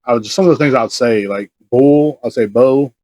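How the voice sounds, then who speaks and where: A man from Texas chats with a joking tone and laughs often. He talks at an ordinary male pitch. The recording is dry and close. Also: a little fast, warm tone, no echo. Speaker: podcast co-host. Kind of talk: casual chat.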